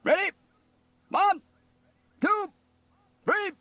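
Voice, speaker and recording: Bossy fire chief from a kids story, high and loud, barking orders, exasperated. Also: male voice, big pitch swings, normal speed, vocal fry, dry recording, exaggerated voice. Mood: stern, commanding. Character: bossy, exasperated male fire station officer, stern commander.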